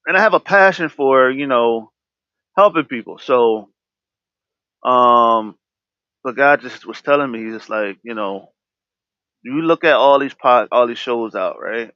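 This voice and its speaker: A man's voice: mid-range voice, unhurried, pauses often, pushed and bright, no echo. Guy hosting a podcast, stutters and restarts a lot, kinda dull lo-fi dry sound. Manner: stutters, restarts words often. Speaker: podcast host. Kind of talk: candid personal talk.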